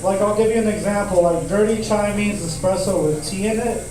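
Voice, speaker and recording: A man's voice: high-pitched, fairly quick. A man in his twenties performing a laid-back, crude stand-up set in a comedy club, laughing at his own jokes. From Tucson, Arizona. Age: 20s. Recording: echoey club audio from far off, noisy.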